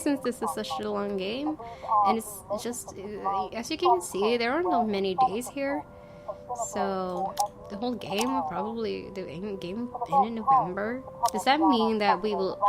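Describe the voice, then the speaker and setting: A young adult woman giving casual, tentative let's play commentary, thinking aloud as she goes. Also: mid-range voice. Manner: musing, tentative. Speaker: gaming video host. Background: game music.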